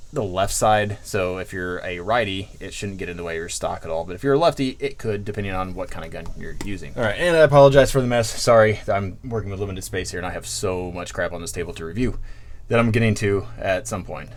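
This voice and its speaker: A man reviewing gear hands-on in a casual, plain-spoken, candid and conversational way. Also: on the low side. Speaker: product reviewer.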